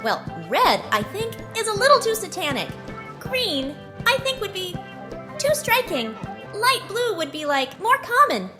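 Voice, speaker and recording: Woman doing a very high-voiced stubborn little boy. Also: sing-song, a little slow, over music, exaggerated voice. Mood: earnest, stubborn. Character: stubborn young boy pleading his case. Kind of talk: comic news report, fan dub.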